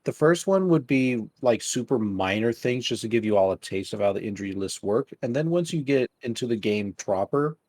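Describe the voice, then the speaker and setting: A man's voice: medium pitch, animated, a little fast, few pauses, a little mellow, no room sound. A man running a tabletop game, talking things over casually with his players in an easygoing, reassuring way. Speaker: game master.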